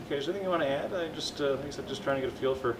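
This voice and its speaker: A Canadian man interviewing band members with halting questions full of fillers and restarts. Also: a little high. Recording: noisy camcorder audio, partly off-mic.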